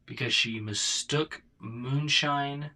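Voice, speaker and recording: A man's voice: medium pitch, takes it slowly, bright, forceful tone. A man co-hosting a podcast in casual, informal banter. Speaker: podcast co-host.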